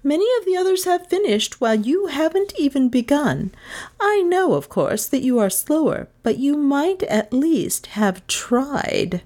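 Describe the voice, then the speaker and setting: A woman narrator plays a stern, exacting schoolmistress in a children's storybook. She speaks formally and in clipped phrases, lifting her pitch as she coolly shames a pupil. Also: very animated, measured pace, a little mellow. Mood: reproachful. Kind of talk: children's audiobook character voice.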